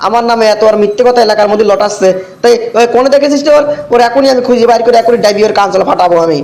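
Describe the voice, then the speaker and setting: Man as a very shrill proud village hothead. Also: rapid-fire, a little mellow. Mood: outraged. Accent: rural Bangladeshi Bengali. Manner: outraged, blustering. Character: proud, gullible, hot-headed village man. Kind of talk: comic cartoon dialogue.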